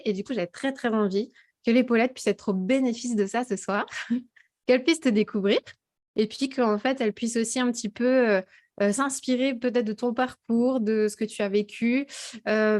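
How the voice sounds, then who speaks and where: A woman from Alsace hosting an interview, enthusiastic and full of quick affirmations and hesitant fillers. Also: a little high, darkish tone. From Alsace, France. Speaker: host. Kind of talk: casual interview chat.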